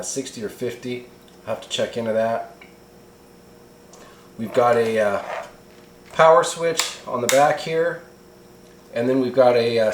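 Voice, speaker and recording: Echoey middle-aged man, chatty hobby reviewer. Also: mid-range voice. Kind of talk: casual unboxing review. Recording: roomy echo, faint background noise.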